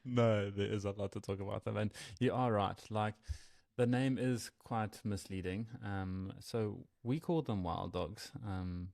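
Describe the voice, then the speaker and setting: Male co-host, South African accent, low and gravelly, mellow tone, laid-back rambling delivery. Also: unhurried, no echo, studio quality. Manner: relaxed, laughs often, rambling explanations. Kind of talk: casual podcast chat.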